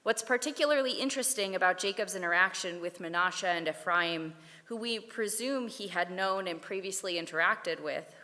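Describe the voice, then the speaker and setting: Bright-voiced woman giving a sermon. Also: fairly low pitch. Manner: measured, deliberate delivery. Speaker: speaker giving a Torah talk. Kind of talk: prepared sermon read aloud. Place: synagogue sanctuary. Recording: long hall reverb.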